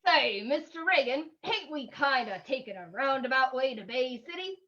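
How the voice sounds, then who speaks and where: Woman acting a high-voiced, nervous folksy prospector man. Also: animated, moderate pace, sharp tone, highs cut off. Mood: uneasy. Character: folksy, trusting man, frightened prospector passenger. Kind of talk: old-time radio drama acting.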